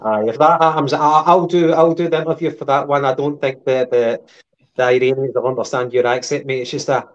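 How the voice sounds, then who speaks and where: A man co-hosting a podcast, going through football news in a measured, casual way with lots of ums and uhs. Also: mid-range voice, steady tone, dull audio. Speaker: podcast co-host.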